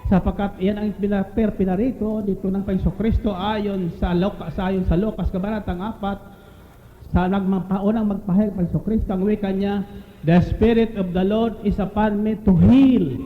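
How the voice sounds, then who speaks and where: A man's voice: high-pitched, fairly even intonation, moderate pace. A man preaching a sermon, quoting scripture and often restating his phrases as he goes. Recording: tinny band-limited roomy audio with hiss.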